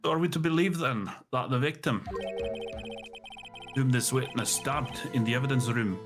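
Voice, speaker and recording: A man's voice: mid-range voice, moderate pace, close to an everyday voice. A Scottish man voicing a stern elderly male judge, speaking in a formal, ponderous way. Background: background music.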